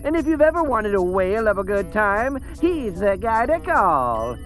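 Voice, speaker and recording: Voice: medium pitch, very animated, normal speed, lightly acted. Comic narrator played by an actor for a cartoon parody. Self-important, rambling, swooping delivery, music bed. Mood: smug, rambling. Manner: mock-grandiose, rambling. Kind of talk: comedic scripted narration. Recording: music behind the voice.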